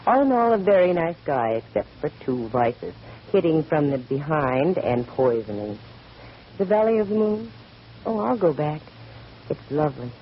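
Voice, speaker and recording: An actress playing a sharp-witted, wisecracking female private investigator in a 1940s radio detective drama, narrating with breezy wryness. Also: deep voice, big pitch swings, normal speed, lo-fi sound, slight background noise. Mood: wry, wistful. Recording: vintage radio recording with faint music.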